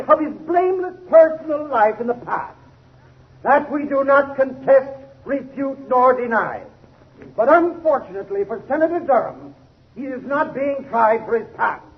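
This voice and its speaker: Casting: hard, oratorical 1930s radio prosecutor, very high voice, dull vintage sound. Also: male voice, a little hiss or hum. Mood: accusatory, oratorical. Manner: declamatory, accusatory, formal. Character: hard, pompous male courtroom prosecutor. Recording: muffled 1930s radio recording.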